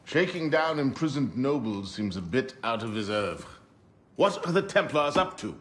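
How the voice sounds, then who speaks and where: An actor playing a grave, authoritative leader of an assassin order in a video game, formal and solemn. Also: male voice, mid-range voice, big pitch swings, moderate pace, close to an everyday voice. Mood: stern, wary.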